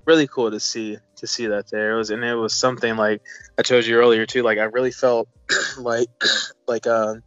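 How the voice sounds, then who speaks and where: Hesitant mid-pitched man, slightly muffled sound. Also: flat delivery, moderate pace, fairly bright tone, dry recording. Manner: hesitant, rambling, repeating phrases. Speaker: film review co-commentator. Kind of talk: casual film discussion. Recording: dull sound with music behind.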